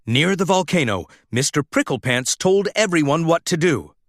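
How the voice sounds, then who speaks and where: A man reads a children's story with dramatic expression. His voice is fairly high and very animated, moving at a deliberate pace. The recording is close and dry. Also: no echo. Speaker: storybook narrator.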